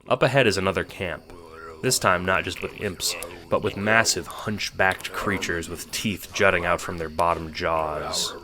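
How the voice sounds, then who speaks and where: A man narrating a scripted second-person story for an audio drama. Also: deep voice.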